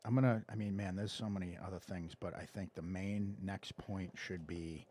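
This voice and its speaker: A man's voice: low voice, steady tone, moderate pace, darkish tone, no echo. A man hosting a podcast, asking questions in a casual, conversational way. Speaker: podcast host. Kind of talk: casual interviewing.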